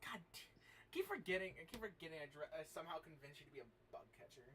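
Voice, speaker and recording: A sweary, excitable man hosting a casual livestream and chatting with his guests. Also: a little high, fast. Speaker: livestream host and amateur voice actor. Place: online group voice call. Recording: noisy, distant mic.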